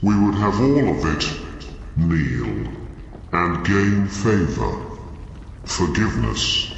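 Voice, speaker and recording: A man voicing an ominous collective entity in a comic dub, speaking with slow, solemn command through heavy reverb. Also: deep voice, sing-song, gravelly, heavily acted. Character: ominous collective hive-mind entity demanding submission. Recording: muffled, cavernous long echo, faint noise.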